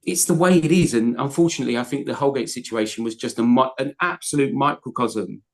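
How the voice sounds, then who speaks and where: A man's voice: mid-range voice, fast talker, vocal fry. A man co-hosting a casual football podcast, talking passionately in long rambling runs full of restarts. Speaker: podcast co-host.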